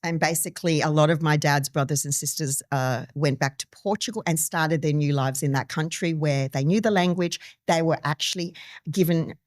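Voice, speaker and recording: Podcast guest: a woman in her fifties from Timor-Leste, low voice, earnest and personal, close dry sound. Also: normal speed, no echo. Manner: earnest, personal, with many restarts and ums. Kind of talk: conversational interview storytelling.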